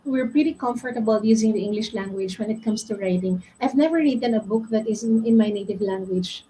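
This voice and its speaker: A woman from the Philippines speaks with a Filipino accent on a panel. Her tone is mellow and earnest as she explains things, with a faint hiss of ambience behind her. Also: mid-range voice, brisk, low-quality recording. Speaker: author on a panel. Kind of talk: panel discussion answer.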